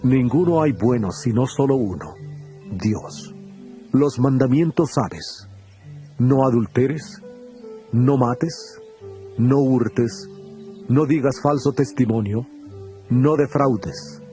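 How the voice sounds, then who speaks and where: An actor playing Jesus as a calm, earnest teacher, speaking in a solemn, measured tone in a dramatized scripture recording. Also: male voice, mid-range voice, faint music behind, natural voice.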